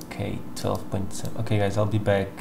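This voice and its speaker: A young man with a Portuguese accent, casually commenting on his gameplay, excitable and muttering to himself. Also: on the low side, a little fast, creaky, over loud music. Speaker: gameplay streamer. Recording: loud background noise.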